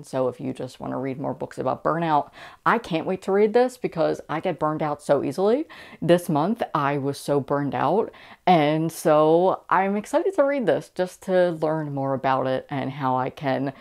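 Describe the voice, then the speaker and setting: Young woman, low voice, casual vlog chat, enthusiastic, gushing, indoor recording. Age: young adult. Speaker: book vlogger. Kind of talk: casual book haul chat to camera.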